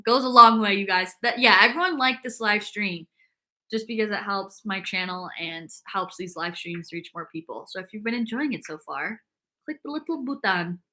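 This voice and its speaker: A woman's voice: mid-range voice, moderate pace, sharp tone. A young woman hosting a casual fitness livestream, chatting upbeat and reading viewer comments aloud, with lots of "like" fillers.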